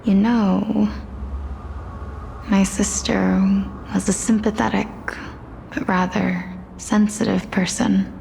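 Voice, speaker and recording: A woman voicing a serene, melancholy death spirit in a fantasy video game, calm, hushed and quietly knowing. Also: mid-range voice, slow pace, creaky, a little hiss or hum. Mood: quietly probing. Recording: long echoing reverb, faint ambience.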